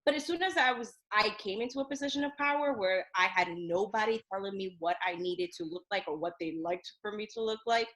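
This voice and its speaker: Animated woman guest telling stories. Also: medium pitch, rough recording. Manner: animated storyteller, quick bursts, laughs often. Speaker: podcast guest, youth journalism program director. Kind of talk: casual interview chat.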